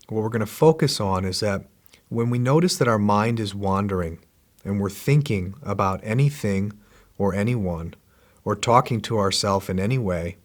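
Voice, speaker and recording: A middle-aged man guiding a meditation class with slow, gentle, repetitive instructions. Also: lowish voice. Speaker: meditation teacher.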